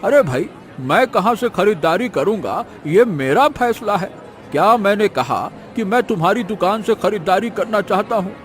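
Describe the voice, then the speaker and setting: A man plays a proud, wealthy old villager in a cartoon tale, blunt and scornful. The delivery swings widely in pitch and sits fairly high, with light music behind. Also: expressive, moderate pace. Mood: irritated, scornful. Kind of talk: animated moral story acting.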